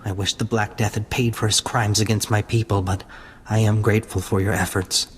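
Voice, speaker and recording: Guy doing a humble alien laborer from a video game, lowish voice, solemn and kinda formal. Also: flat delivery, fairly quick, slight background noise, natural voice. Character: solemn alien native labourer, aggrieved and humble.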